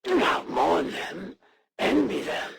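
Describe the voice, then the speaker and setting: An actress playing a proud, menacing alien assassin in a video game, speaking in a formal, haughty, threatening way. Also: female voice, mid-range voice, very animated, takes it slowly, creaky, slightly rough, full character voice. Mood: solemn. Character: proud alien assassin warrior, female, menacing, honor-bound, disdainful. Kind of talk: scripted video game villain voice acting.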